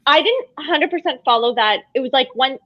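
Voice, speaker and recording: A woman's voice: animated, a little fast, no room sound. A young woman co-hosting a live book discussion, chatty and full of "like" and restarts. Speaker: book club co-host. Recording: dull low-fi, dry sound.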